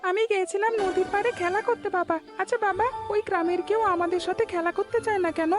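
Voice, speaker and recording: A woman's voice: very high-pitched, fairly even intonation, quick pace, over music. A woman voicing an innocent, curious young village boy with a plaintive, childlike way of talking in a cartoon. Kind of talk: Bengali cartoon dialogue.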